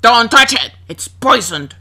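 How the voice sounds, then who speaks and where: A woman's voice: fairly high pitch, sing-song, a little slow, pushed and bright, full character voice. A woman voicing a stern, protective little dwarf, emphatic and scolding in a children's storybook tale. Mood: alarmed, scolding. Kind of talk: children's storybook play with dolls.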